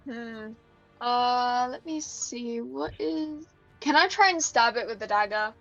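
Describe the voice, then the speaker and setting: Highish woman rpg player, unsure, casual, kinda muffled mic, music behind. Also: slow, a bit bright. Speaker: tabletop game player. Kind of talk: casual game chat. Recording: dull lo-fi mic over music.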